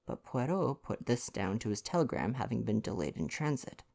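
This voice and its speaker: A man reads softly in a bedtime ASMR style. His delivery is gentle and intimate, with lively, expressive intonation and some gravelly fry in the voice. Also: mid-range voice, moderate pace. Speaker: ASMR roleplay performer reading aloud.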